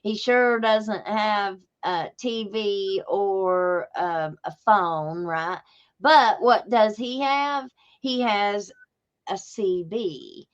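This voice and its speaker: Slow-talking Appalachian woman, folksy storyteller. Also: medium pitch, highs cut off. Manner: folksy, emphatic, repetitive storytelling. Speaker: podcast host. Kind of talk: casual true-crime podcast talk.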